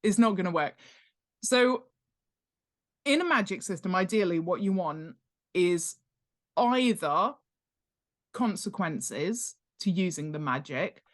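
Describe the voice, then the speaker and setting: A woman's voice: on the low side, takes it slowly, long pauses. A woman talking casually as an expert podcast guest, explaining ideas informally and emphatically, repeating points and posing rhetorical questions. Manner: animated, emphatic, repeats key points for stress; informal, uses rhetorical questions and acted-out examples. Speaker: podcast guest, author and world-building expert. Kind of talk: casual instructional interview chat.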